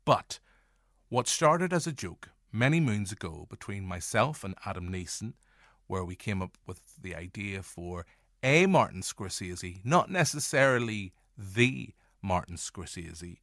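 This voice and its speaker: A Northern Irish man speaks as a podcast host in a fairly low voice. He addresses the listener directly with playful, deliberate asides. The recording is close and dry. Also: animated, a bit bright, dry recording. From Northern Ireland. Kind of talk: scripted-sounding podcast introduction.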